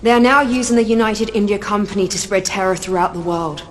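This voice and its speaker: A woman's voice: mid-range voice, fast, a little hiss or hum, natural voice. An actress playing a grave, guarded rebel leader and mother in a video game, speaking in formal, solemn phrases. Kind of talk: dramatic video game acting.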